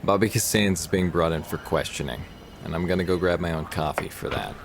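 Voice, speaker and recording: An actor playing a steady, determined police detective in an audio drama, confident and matter-of-fact. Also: male voice, low-pitched, fairly quick, creaky, faint music behind. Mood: reassuring.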